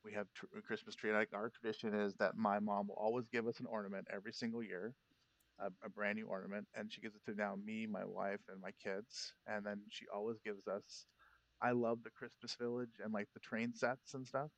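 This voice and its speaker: A man's voice: on the low side, little pitch movement, brisk, no room sound, poor audio. A man in his thirties, a podcast host, telling a rambling holiday story in casual chat, often restarting his sentences. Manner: rambling, self-correcting storyteller.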